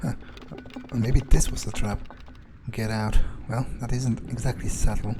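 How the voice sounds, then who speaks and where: A man's voice: mid-range voice, fairly even intonation, unhurried, heavy background noise. A man thinking aloud in improvised in-character video game commentary, musing and sardonic in an understated, casually profane way. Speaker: gamer narrating an in-character playthrough.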